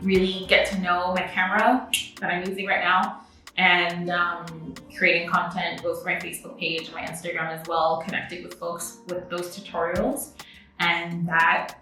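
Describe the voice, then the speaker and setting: Young French-native woman, upbeat beauty vlogger talking to camera; crisp bright tone, roomy echo from a mic set back. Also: on the low side, distant mic. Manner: chatty and upbeat, with laughs. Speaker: beauty vlogger and makeup artist. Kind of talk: casual to-camera vlog. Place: indoor home studio with a makeup setup.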